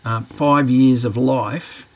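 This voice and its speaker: A man answering interview questions on a podcast, reflective and careful, often pausing with fillers as he works through his ideas. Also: mid-range voice, fairly even intonation. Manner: reflective, hesitant, many fillers and restarts. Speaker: podcast guest, training manager.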